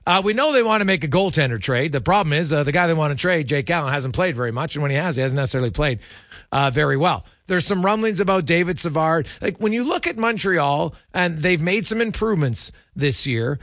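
Male sports talk host, slightly high voice with lively swings, close dry sound. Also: fairly quick, no echo. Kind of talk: conversational interviewing on sports talk radio.

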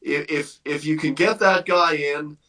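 A man's voice: a little high, steady tone, unhurried, sharp tone, far from the mic. A man giving opinionated hockey analysis as a guest on a sports radio interview, conversational and emphatic, thinking aloud as he goes.

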